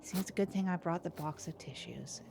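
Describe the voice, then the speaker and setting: A woman playing an earnest, chatty small-town co-host of a local news show, speaking in a halting, literal way. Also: fairly low pitch, creaky, background noise. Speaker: co-host of a comedy news podcast. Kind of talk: improvised mock local public-access news chat.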